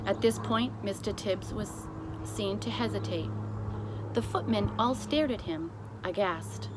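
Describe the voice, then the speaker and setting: Woman, mid-pitched voice, storybook narration, expressive and deliberate, noticeable background noise. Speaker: storybook reader. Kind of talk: scripted narration, children's story read aloud. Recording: clearly noisy amateur recording.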